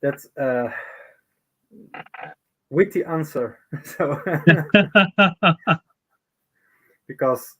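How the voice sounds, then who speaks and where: Slow-talking man in his thirties. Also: on the high side, lively intonation, crowd noise, rough recording. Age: 30s. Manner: slow, laughs a lot. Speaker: guest. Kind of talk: casual live chat.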